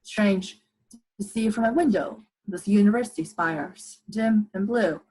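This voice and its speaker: A young woman playing a solemn scientist survivor, narrating a radio play in grave, literary, deliberate phrases. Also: medium pitch, darkish tone, husky, low-quality recording. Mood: reflective. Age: young adult. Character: solemn scientist survivor recounting a devastated world. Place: living room at home, video call.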